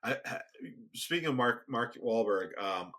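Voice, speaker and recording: Bright-toned soft-spoken man chatting. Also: expressive, unhurried. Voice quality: booming when raised. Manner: soft-spoken, rambling with many restarts. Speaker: podcast co-host. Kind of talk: casual conversation. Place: home studio, remote recording.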